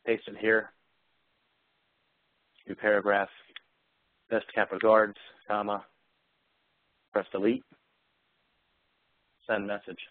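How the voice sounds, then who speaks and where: A man giving a live software demonstration, explaining features and speaking voice commands as he goes. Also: lowish voice, monotone, takes it slowly, long pauses, dry recording, narrow, tinny audio.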